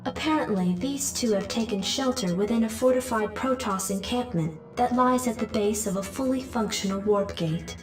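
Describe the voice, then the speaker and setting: An actress playing a cool, efficient military adjutant in a video game, announcing in a composed, formal way. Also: female voice, a little high, moderate pace, few pauses, close to an everyday voice. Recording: echoey, distant, music behind.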